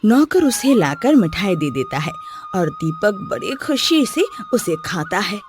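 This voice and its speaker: A woman's voice: fairly high pitch, huge pitch swings, unhurried, music in the background. A woman narrating a scripted children's story.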